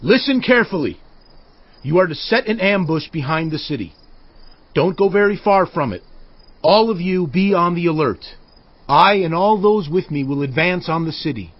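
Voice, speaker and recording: An actor playing an earnest, authoritative Israelite war leader with forceful, declamatory delivery in a dramatized audio Bible. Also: male voice, on the high side, lively intonation, normal speed, no room sound, lo-fi sound. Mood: urgent, commanding. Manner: forceful, declamatory, urgent orders.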